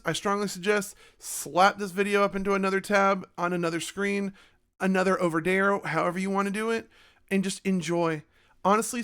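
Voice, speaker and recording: A man with a slightly raspy voice giving a chatty, self-mocking channel update to his viewers. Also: high voice, fairly bright tone. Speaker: YouTube creator. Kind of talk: casual channel update monologue.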